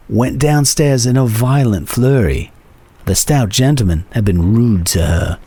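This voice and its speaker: A man giving a dramatic audiobook reading of old-fashioned prose, with measured, expressive phrasing. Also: medium pitch, slightly rough. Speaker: reader of a classic short story.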